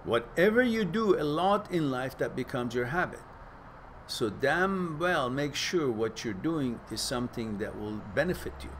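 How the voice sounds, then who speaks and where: A man with a mid-range voice talks through viewer questions in an unhurried, earnest way, pausing and filling gaps as he thinks. A faint hiss of background noise sits under his voice. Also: mid-range voice, expressive, a bit bright. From Iran. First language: Farsi. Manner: reflective, earnest, loose pauses and fillers, laughs easily. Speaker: host reading live chat questions. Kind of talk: casual live-stream chat and advice.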